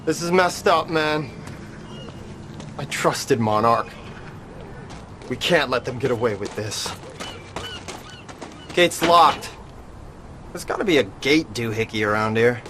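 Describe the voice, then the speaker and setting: A man playing a rattled but loyal cab driver in a video game, excitable and colloquial, with plenty of swearing. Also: medium pitch, takes it slowly, pauses often. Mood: loyal, uneasy. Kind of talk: naturalistic video game acting. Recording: clearly noisy, vehicle and ambient sound.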